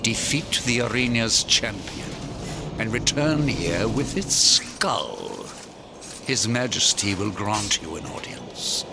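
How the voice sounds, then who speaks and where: A man's voice: on the low side, sing-song, slow, creaky, full character voice. An actor playing a haughty, scheming chancellor to a dead king in a video game, pompous, theatrical and condescending. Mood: haughty, formal. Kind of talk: video game cutscene acting. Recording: loud cinematic music behind.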